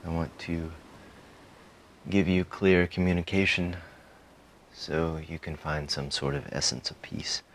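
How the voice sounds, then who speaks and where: A man guiding a meditation in a calm, soothing manner, giving soft instructions in short phrases with frequent pauses. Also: sits low. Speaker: meditation guide. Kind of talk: guided meditation, softly spoken instruction.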